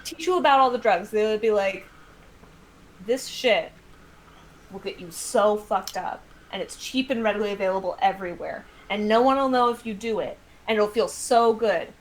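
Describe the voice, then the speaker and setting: An American woman chatting casually as a guest author, telling stories in an animated, self-deprecating way with some swearing. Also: medium pitch, normal speed, poor audio. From United States. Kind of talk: casual livestream chat.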